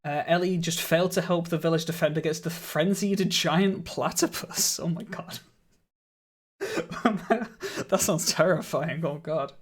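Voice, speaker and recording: A man speaking into a studio microphone as he streams a game, his voice sitting a touch higher than most men's. He chats casually with viewers, thanks them warmly and laughs easily, and his voice sounds slightly croaky. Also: unhurried. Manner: chatty, laughs often, greets and thanks viewers.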